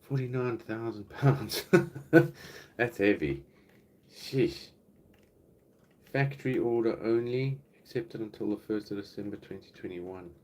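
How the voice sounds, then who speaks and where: A South African man with a very low voice hosts a relaxed livestream chat from home. He rambles easily between topics and reads out comments from the viewers. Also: measured pace, pauses often. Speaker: livestream host. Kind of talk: casual live Q&A chat. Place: home, indoors.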